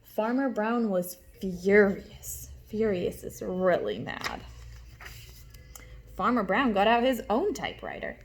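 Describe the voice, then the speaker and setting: A woman teacher reading a storybook aloud to young children, expressively, pausing to explain and ask questions. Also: mid-range voice, sing-song, slight background noise.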